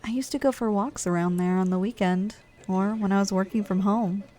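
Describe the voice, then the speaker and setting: A woman's voice: mid-range voice, steady tone, a little fast, warm tone, lightly acted. A woman playing a weary, snack-craving giantess office worker in a chatty, teasing, conversational ASMR roleplay monologue. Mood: friendly, wistful.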